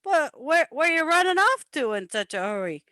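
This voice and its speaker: A woman's voice: sits high, big pitch swings, normal speed, sharp tone, no echo, clean studio sound, heavily acted. A woman playing a talkative, deferential male mobster sidekick in an amateur old-time radio comedy play, with rambling, hesitant wiseguy patter. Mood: grumbling.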